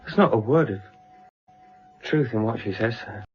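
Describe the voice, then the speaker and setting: A man's voice: medium pitch, moderate pace, highs cut off, soft background music, natural voice. An actor playing a menacing, obsessive young British policeman turned woman-hating killer in a radio drama. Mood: bitter, manic. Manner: menacing, self-justifying, obsessive.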